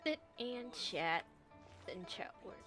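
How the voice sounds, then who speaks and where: Female streamer, casual game commentary, very unhurried delivery, mid-range voice, faint music. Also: a little high, sing-song. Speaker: game livestreamer. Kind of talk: casual live game commentary, reading dialogue choices aloud. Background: soft music.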